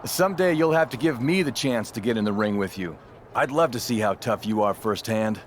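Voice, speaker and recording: A man performs a veteran wrestler in a game cutscene, full of himself and very animated. He leans hard on phrases and stretches them out for drama, with a slight noise in the background. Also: on the low side, a little fast, lightly acted. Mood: cheerfully cocky. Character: boastful veteran male wrestler with a cheery, wide-eyed alter ego. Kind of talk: scripted video game cutscene acting.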